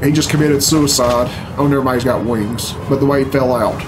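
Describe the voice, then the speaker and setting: A man's voice: medium pitch, fairly even intonation, quick pace, background noise. A man in his thirties giving casual, off-the-cuff reaction commentary in a blunt, grumbling, puzzled way, swearing freely. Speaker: reaction video host. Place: small furnished room at home. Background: game cutscene music and sound.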